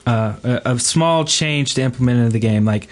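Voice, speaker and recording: A man with a mid-pitched voice hosts a casual podcast. His phrasing meanders and hesitates as he thinks out loud. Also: a little fast. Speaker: podcast host. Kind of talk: casual chat.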